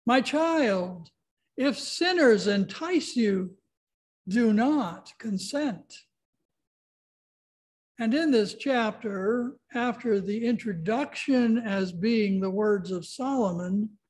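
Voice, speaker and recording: Slow-paced pastor in his sixties. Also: high-pitched, darkish tone. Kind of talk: leading worship, introducing and reading scripture. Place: church sanctuary.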